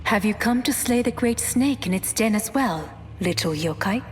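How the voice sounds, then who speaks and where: A woman's voice: mid-range voice, lively intonation, moderate pace, a little hiss or hum, lightly acted. A woman voicing a composed, resolute warrior in a video game, speaking formally and with purpose. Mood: confident. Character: composed, resolute female monster-slaying warrior. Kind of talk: video game character acting.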